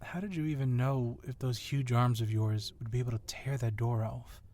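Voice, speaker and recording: A man's voice: mid-range voice, steady tone, normal speed, a little mellow, no echo, natural voice. A man playing an agile, confident police officer with a casual, flirtatiously admiring manner in an ASMR roleplay. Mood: impressed. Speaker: voice actor in audio roleplay.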